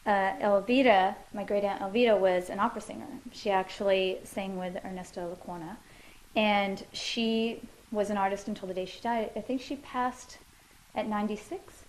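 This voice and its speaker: A woman's voice: medium pitch, darkish tone, low-quality recording. A woman musician answering interview questions in her living room, thoughtful and loose, with many fillers and restarts.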